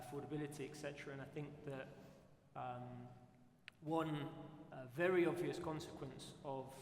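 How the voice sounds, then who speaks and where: A man speaking on a conference panel in a measured, deliberate way, often pausing on fillers. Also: mid-range voice. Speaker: operator panelist. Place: conference hall on stage. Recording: echoey conference hall with faint noise.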